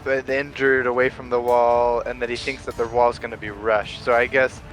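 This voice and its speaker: A man's voice: mid-range voice, level tone, a little fast, a bit bright, low-quality recording. A man playing a tabletop game, tentatively thinking aloud in casual talk. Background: faint background music.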